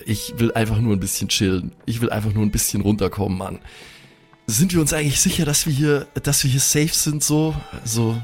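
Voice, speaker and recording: A man's voice: medium pitch, fairly even intonation, a little fast, slightly rough, soft background music, natural voice. A man playing a weary, irritable young slacker musician in an improvised roleplay audio drama, drawling street slang mixed with English. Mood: weary, fed up.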